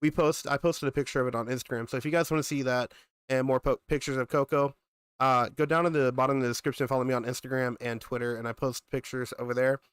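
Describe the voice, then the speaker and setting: A man's voice: medium pitch, fairly even intonation, quick pace, fairly bright tone, clean tone, no room sound. A young man with a cold, sounding congested, chatting casually with viewers as a gaming streamer and rambling on with asides. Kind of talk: casual live-stream chat.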